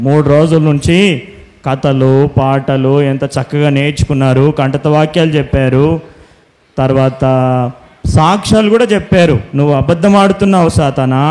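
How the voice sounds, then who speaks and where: A man's voice: fairly high pitch, fairly even intonation, normal speed, darkish tone. A man hosting a children's Bible school puppet show, speaking in an instructive, scolding way as he acts out the dialogue. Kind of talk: scripted puppet show dialogue with simple preaching.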